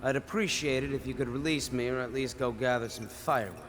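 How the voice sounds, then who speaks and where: A man's voice: mid-range voice, fast talker, long reverb tail, very noisy, natural voice. An actor playing a wry, composed male conspirator in a video game, with dry, articulate, sardonic delivery.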